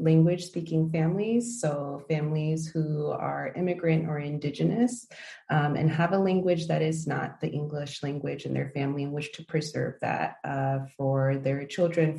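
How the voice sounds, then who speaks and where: A woman in her thirties from the United States, giving measured, thoughtful answers as an interview guest with frequent ums and uhs. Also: sits low, creaky, warm tone. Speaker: interview guest, language project founder. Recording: echoey room.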